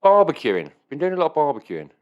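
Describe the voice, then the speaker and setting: A man in his thirties co-hosts a casual podcast, his pitch bouncing around a lot as he rambles. He swears freely and keeps asking rhetorical questions. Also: wildly swooping pitch, quick pace, dull audio. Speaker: podcast co-host. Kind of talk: casual banter.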